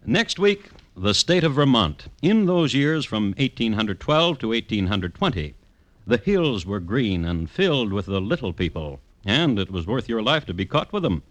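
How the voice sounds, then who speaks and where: A wry host of vintage radio crime tales. His lowish voice moves expressively through dry, deliberate narration, recorded close and dry. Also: very animated, no room sound. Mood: droll. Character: wry, urbane crime-connoisseur narrator reporting old murders. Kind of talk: 1950s radio scripted narration.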